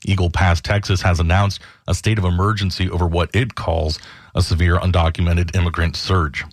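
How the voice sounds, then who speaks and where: A man reading the local news headlines as a radio anchor, in a steady, measured newsreader delivery. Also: low-pitched, no room sound, clean studio sound. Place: radio studio.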